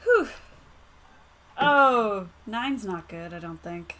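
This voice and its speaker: A woman's voice: mid-range voice, expressive, drawn-out words, a bit bright. A woman playing a tabletop game, reacting in short, casual remarks.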